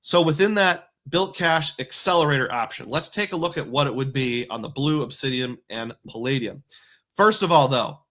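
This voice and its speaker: A man's voice: fairly high pitch, fairly bright tone. A man hosting a live stream who works through credit card points math step by step, explaining each calculation aloud. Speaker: host.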